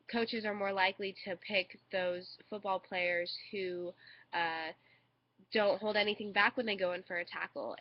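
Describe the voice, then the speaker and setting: A young woman with a congested cold, giving a hesitant student speech into a webcam with many fillers. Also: mid-range voice. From United States. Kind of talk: informal recorded speech. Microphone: webcam microphone. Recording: dull lo-fi webcam audio.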